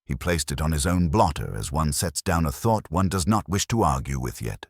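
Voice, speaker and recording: A man narrating a mystery story in a measured, dry, literary way. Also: a bass voice, expressive, husky, dry recording.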